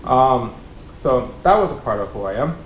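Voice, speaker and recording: A man's voice: expressive, moderate pace. A man in his thirties from Mexico, speaking as a keynote speaker to students in a conversational way, with frequent ums and uhs. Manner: conversational, many ums and uhs, gestures with hands. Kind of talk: personal story talk to students. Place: college lecture room, seated at a panel table. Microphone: desk mic on stand. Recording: roomy hall sound with faint rumble.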